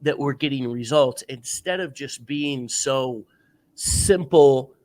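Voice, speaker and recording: A man's voice: medium pitch, slow pace, darkish tone. A man hosting an interview podcast, stuffed up with a sore throat, giving earnest coaching advice in a casual chat. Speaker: podcast host.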